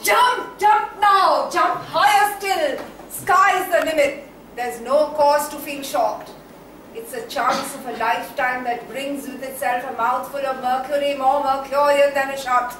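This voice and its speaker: High-pitched, dramatic poetess played by a woman for a stage play, declamatory and whimsical, faint background noise. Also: fairly bright tone. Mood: urgent, commanding. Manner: declamatory, dramatic, with emphatic repeats and drawn-out phrases. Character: theatrical, whimsical female poet musing aloud on art. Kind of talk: theatrical stage monologue, poetic recitation. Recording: distant, very echoey hall, faint noise.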